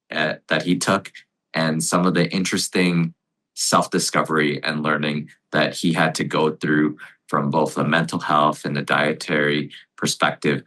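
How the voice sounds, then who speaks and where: A man hosting a podcast, reading a measured intro and then interviewing his guest in a friendly way. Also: a bass voice, fairly bright tone. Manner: friendly, measured, some fillers. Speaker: podcast host.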